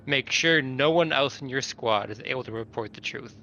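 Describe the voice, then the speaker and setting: A man with a bright, mid-pitched voice speaks as a player in a tabletop game, casually or reading from notes. The recording is slightly dull and lo-fi with faint noise. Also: normal speed.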